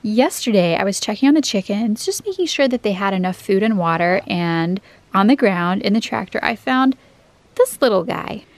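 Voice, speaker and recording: A woman's voice: mid-range voice, animated, normal speed, no echo. Woman, thirties, cheerful homestead vlog, plain explaining, dry sound. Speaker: homesteader co-host.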